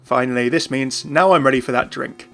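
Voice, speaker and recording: A man's voice: mid-range voice, fairly quick, vocal fry, fairly bright tone. A British man hosting a gaming video, giving an enthusiastic scripted explanation. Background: faint music.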